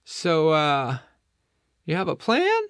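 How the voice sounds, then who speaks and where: A man's voice: fairly high pitch, huge pitch swings, takes it slowly, fairly bright tone, clear, resonant tone, no room sound, clean studio sound, pitched above the performer's own voice, full character voice. A man voicing a friendly, easygoing male penguin in a children's story, chatty and helpful, with folksy hesitations. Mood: curious, casual. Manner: chatty, hesitant "uh" and "you know", reassuring.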